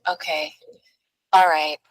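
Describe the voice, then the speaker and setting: A woman's voice: low voice, level tone, slow. Chatty woman moderating a panel. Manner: light, chatty, self-mocking. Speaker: radio host.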